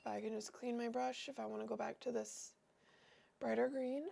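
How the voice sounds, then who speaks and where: Nasal, gravelly young woman with a cold. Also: fairly high pitch, fairly even intonation, moderate pace, mellow, a little hiss or hum. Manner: meandering, thinks aloud with many fillers. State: sick and nasal. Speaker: livestream host painting. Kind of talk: casual painting commentary.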